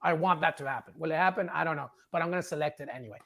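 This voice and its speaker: Sports show panelist with a creaky, gravelly voice, punchy and emphatic in studio discussion. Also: mid-range voice, rapid-fire, a bit bright. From England.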